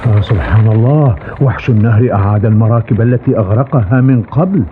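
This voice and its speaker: A man playing a kindly older companion to a young adventurer, measured and fatherly, in a dubbed cartoon. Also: fairly low pitch, heavy background noise, natural voice. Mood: pleased. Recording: tinny narrow-band audio under music.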